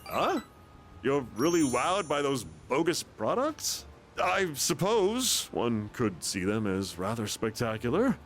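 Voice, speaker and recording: A smug slow-talking salesman. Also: medium pitch, very animated, a little hiss or hum. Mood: smug lecturing. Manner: smug, lecturing, sly laughs. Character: scheming male salesman of bogus products, smug.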